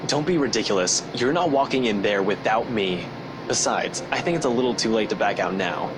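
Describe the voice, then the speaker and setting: A man's voice: fairly low pitch, lively intonation, fairly quick, background noise, natural voice. An actor playing a stern, dry-witted detective in a visual novel game, firm and clipped, protective of his friends. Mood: firm, exasperated. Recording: music behind the voice.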